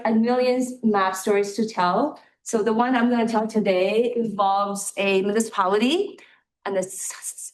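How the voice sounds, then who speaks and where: A woman with a loud voice giving a casual talk to an audience in a hall and asking the room questions. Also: mid-range voice. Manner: chatty and upbeat, polls the audience. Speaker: guest speaker, account manager. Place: lecture hall at an in-person event.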